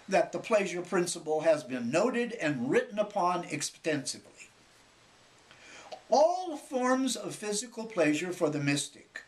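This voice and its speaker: Guy reading spiritual audiobook, really slow n deliberate, lots of pauses, pitch moves around a lot. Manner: measured, deliberate phrasing with frequent pauses. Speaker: author reading own spiritual book. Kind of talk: scripted spiritual audiobook narration.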